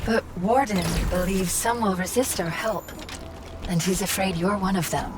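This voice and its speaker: A woman playing a calm, idealistic artificial intelligence in a video game, explaining things in a measured, reassuring way. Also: mid-range voice, background noise, lightly acted. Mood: calm, persuasive. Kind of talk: cinematic video game acting. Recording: music behind the voice.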